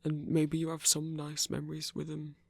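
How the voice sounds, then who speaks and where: A gentle, awkward male hunter voiced by an actor speaks with a gravelly, husky edge. He is earnest and remorseful, stumbling over his words and apologizing in an intimate ASMR roleplay. The delivery stays close and dry. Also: mid-range voice, monotone, no room sound. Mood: reassuring, hesitant. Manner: hesitant, halting, apologetic, stammering.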